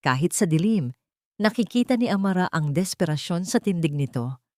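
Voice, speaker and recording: Low-voiced Filipino woman, dramatic storyteller. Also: lively intonation, normal speed, darkish tone, dry recording, clean studio sound. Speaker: audio drama narrator.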